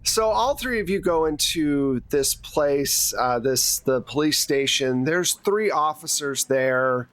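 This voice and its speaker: A man's voice: fairly high pitch, animated, slow pace, hardly any pauses, a bit bright, no echo. A man casually narrating a tabletop game as game master in a joking, easygoing way.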